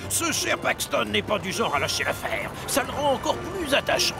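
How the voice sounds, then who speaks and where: Scheming grumpy cartoon train, high gravelly voice, super swoopy, music behind. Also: male voice, huge pitch swings, moderate pace, full character voice. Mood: gloating. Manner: sly, cackling, theatrical. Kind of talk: animated children's series dub.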